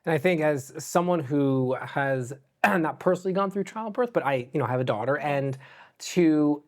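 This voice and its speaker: A man's voice: on the high side, moderate pace. A man co-hosting a casual panel chat, talking loosely and peppering his speech with filler words. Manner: loose and chatty, filler "like" everywhere. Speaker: co-host.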